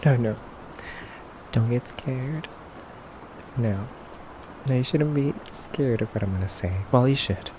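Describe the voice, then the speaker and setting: A man plays a haughty pure-blood vampire questioning his human captive in an ASMR roleplay. He talks slowly, with hesitant pauses and a teasing, condescending politeness. There is clear ambient noise behind the voice. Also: mid-range voice, natural voice. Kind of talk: intimate ASMR roleplay monologue.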